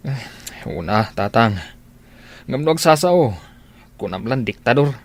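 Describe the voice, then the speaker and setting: A man's voice: medium pitch, expressive, measured pace. A man playing an earnest, meek middle-aged bachelor in a radio drama. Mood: sheepish.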